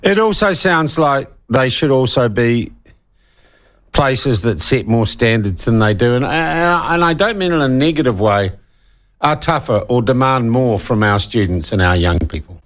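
A man's voice: mid-range voice, lively intonation, measured pace, a bit bright. A man hosting a talk radio interview in a studio, blunt and opinionated in the way he talks. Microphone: studio broadcast mic.

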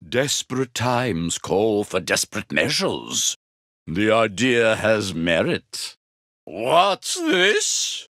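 A man's voice: on the low side, wildly swooping pitch, very slow, a bit bright, dry recording, studio quality, full character voice. A man voicing a grandiose, greedy, scheming villain for a video game, imperious and theatrically polite. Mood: smug. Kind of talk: video game character voice clips.

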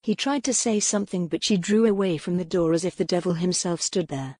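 Low-voiced woman narrating fiction. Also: a little fast, darkish tone, no echo, studio quality. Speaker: audiobook narrator. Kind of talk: scripted dramatic fiction narration.

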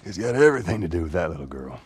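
An actor playing a gruff, weary middle-aged survivor in a video game, terse, with a drawl. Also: male voice, sits low, very animated, rapid-fire, big-room sound, slight background noise. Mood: earnest, low. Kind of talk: dramatic video game acting.

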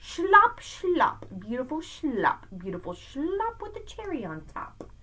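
A middle-aged woman teacher giving an expressive, emphatic read-aloud lesson for children, recorded on a webcam in a room at home. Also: high-pitched, slow. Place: small room at home with bookshelves, indoors. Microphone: webcam at arm's length.

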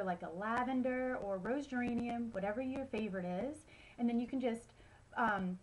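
A woman's voice: on the high side, a little mellow, poor audio. A woman in her thirties giving casual how-to tips to a webcam at home, chatty with many ums and likes. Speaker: home wellness vlogger. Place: small room at home, indoors. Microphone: webcam at close range.

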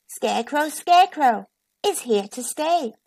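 Mocking storybook birds voiced by a woman, pitched very high. They chant slowly in a teasing sing-song. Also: expressive, a little mellow. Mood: taunting. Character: mocking flock of cackling farm birds.

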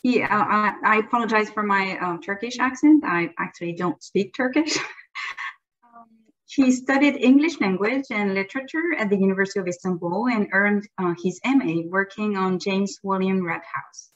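A woman's voice: medium pitch, moderate pace. A middle-aged woman moderating a conference panel over a video call, polite and a little apologetic. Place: office with shelves. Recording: muffled video call audio.

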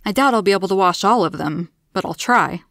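A woman's voice: medium pitch, lively intonation, fairly quick, a bit bright, dry recording, studio quality. A woman voicing a friendly, candid, easily tired woman with an earnest, emphatic manner in a storybook. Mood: candid, upbeat. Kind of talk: storybook character voice.

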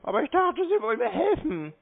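A person talking slowly and naively on a phone call, sounding confused, literal and repetitive. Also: medium pitch, big pitch swings, gravelly. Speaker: prank callee stringing along a scam caller. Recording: muffled, band-limited phone call.

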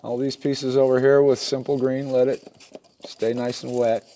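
A man's voice: mid-range voice, flat delivery, normal speed, dark tone, a little hiss or hum. A middle-aged man talks casually through a repair step by step, in a plain-spoken, folksy way as he works. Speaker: instructor in a how-to repair video. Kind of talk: casual hands-on demonstration talk. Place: small wooden workshop at a workbench, indoors.

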